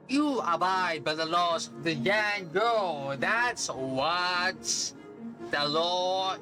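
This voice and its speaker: A streamer with an androgynous voice reacts casually to a game. The delivery is slow, slangy and teasing, with mock scolding. Game music is clearly heard behind the voice. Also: mid-range voice.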